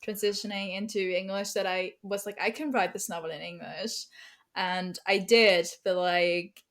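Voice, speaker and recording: A woman's voice: medium pitch, fairly even intonation, moderate pace, fairly bright tone. A German-accented woman talks casually as a podcast guest, her English carrying a German first language underneath. She chats loosely, using plenty of fillers and laughing often. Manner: chatty, many fillers and laughs. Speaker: guest writer.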